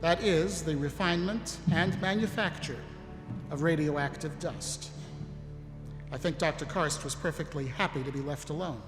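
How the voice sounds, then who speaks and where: A man's voice: fairly high pitch, normal speed, natural voice. A man acting an earnest, polite army captain in a live audio drama. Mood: reflective. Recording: echoey hall, music behind.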